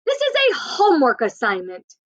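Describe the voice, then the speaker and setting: A woman reading aloud gives voice to a fearsome teacher who snarls and barks orders at her class. The voice runs high and swoops about a lot. The recording sounds dry and a little dull. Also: wildly swooping pitch, normal speed, a bit bright, dry recording, exaggerated voice. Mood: stern. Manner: snarling, barking commands. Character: stern, intimidating woman teacher.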